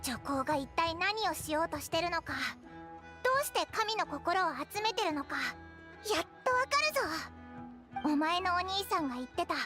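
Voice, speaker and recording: A woman voicing a tiny, excitable female fairy companion in a game, talking in rapid, bubbly chatter. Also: extremely high pitch, music in the background. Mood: musing. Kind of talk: dubbed game dialogue.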